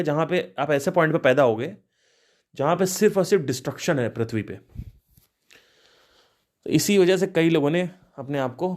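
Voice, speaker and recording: A man of thirty hosting a podcast, musing aloud on spiritual ideas with restarts and rhetorical questions. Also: mid-range voice. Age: 30s. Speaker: podcast host. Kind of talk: reflective spiritual talk.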